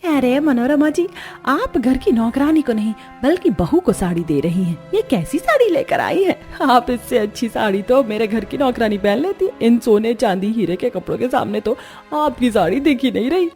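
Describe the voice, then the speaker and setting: A woman's voice: a little high, animated, moderate pace, darkish tone, faint music behind. A woman voicing a snobbish, exclaiming young new bride in a cartoon story. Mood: scornful. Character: wide-eyed, snobbish young new bride, a rich daughter-in-law. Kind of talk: Hindi cartoon story voice acting.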